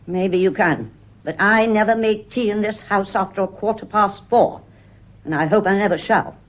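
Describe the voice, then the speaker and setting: A woman's voice: mid-range voice, normal speed, dull audio, lightly acted. An actress playing a bossy, snobbish British housekeeper in a radio drama, brusque and set in her ways. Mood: huffy. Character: bossy, snobbish, gossipy woman housekeeper in service.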